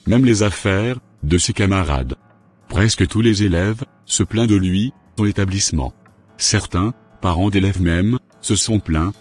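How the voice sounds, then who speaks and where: A man voicing a formal, unbending school director in a cartoon, speaking in measured, broken-up phrases. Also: sits low, darkish tone, husky. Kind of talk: scripted cartoon dialogue.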